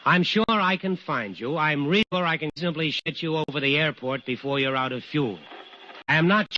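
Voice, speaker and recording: An actor playing an earnest, commanding air traffic controller under pressure in an old-time radio drama, speaking firmly and deliberately. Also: male voice, fairly high pitch, bright, forceful tone. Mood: firm, grave. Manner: deliberate, firm, instructing. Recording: noisy, muffled old radio recording.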